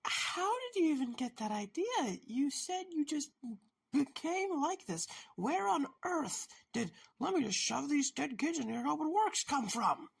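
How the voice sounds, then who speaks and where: A man playing a wary, blunt questioner in an audio drama, with a gravelly, husky voice and a probing, sardonic manner. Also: extremely high pitch, big pitch swings, measured pace, full character voice. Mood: shocked, incredulous.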